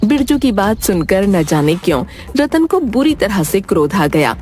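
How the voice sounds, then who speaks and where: A woman's voice: mid-range voice, big pitch swings, unhurried, darkish tone. A woman narrator reading a scripted story in storytelling style.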